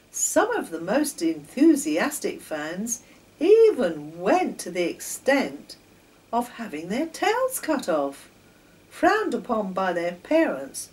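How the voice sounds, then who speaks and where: A woman in her sixties reading a children's story aloud in a deliberate storytelling manner, pausing between phrases. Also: medium pitch, darkish tone. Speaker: children's story author and reader. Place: home kitchen, indoors. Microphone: camera a few metres away. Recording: distant camera mic, faint noise.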